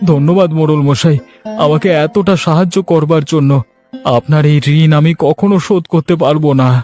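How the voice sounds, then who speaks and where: Guy doing a humble village fish seller for a cartoon, higher voice, earnest n plaintive, music behind. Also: a little fast, darkish tone, natural voice. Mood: grateful. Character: humble, troubled village fish trader husband. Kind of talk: Bengali cartoon story voice acting.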